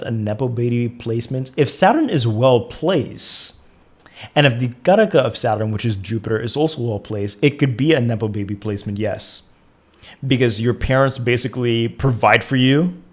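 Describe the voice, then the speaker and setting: A man of middle pitch talking casually into a close mic as he answers viewer questions on a livestream. His delivery is calm and soothing, and he thinks out loud, repeating phrases as he works through each answer. The tone stays relaxed and conversational. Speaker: astrologer hosting a live Q&A.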